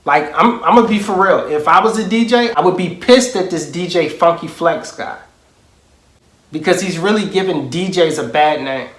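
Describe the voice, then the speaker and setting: A man with a high-pitched voice performs a parody monologue as an aggrieved, self-important music mogul. He sounds boastful and indignant, leaning on emphatic pauses. The recording has a noticeably roomy echo. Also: a bit bright. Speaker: comedic impressionist.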